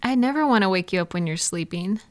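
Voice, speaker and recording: A woman's voice: mid-range voice, lively intonation, quick pace, natural voice. A woman playing a caring, chatty girlfriend in an intimate one-sided roleplay audio, talking affectionately and reassuringly to a partner. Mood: sheepish, fond. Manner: soft-hearted, reassuring, playful, affectionate. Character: caring, gentle, chatty girlfriend comforting a night-owl partner.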